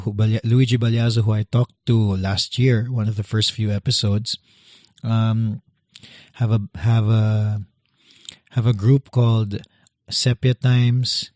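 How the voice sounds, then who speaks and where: A man, hosting a podcast while losing his voice, speaking quietly and hesitantly. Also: fairly low pitch, no echo, studio quality. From Philippines. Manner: quiet, hesitant, many restarts. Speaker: podcast host. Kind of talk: casual solo podcast intro.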